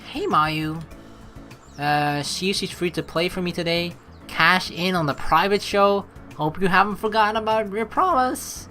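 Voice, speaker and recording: A woman doing chatty, excitable game commentary, mixing story text read aloud with playful asides. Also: fairly low pitch, measured pace, soft background music. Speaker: let's play host.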